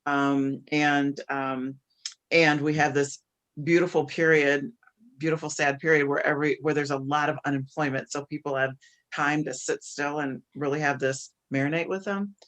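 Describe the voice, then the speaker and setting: A man speaking reflectively and haltingly in a casual discussion on a video call, often posing rhetorical questions. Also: on the high side, fairly even intonation, fairly bright tone. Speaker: discussion participant who coaches executives.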